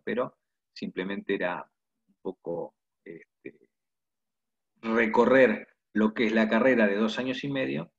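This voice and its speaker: A man's voice: on the low side, breathy edge. A man, a university lecturer wrapping up his talk on a video call, loose and filler-heavy. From Argentina. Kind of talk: wrapping up a presentation. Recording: dull lo-fi video call audio.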